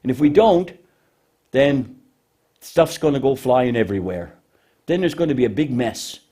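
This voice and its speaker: A man's voice: mid-range voice, lively intonation, normal speed, warm tone. A man hosting a children's puppet show, talking in a folksy, instructive way as he gives simple moral lessons.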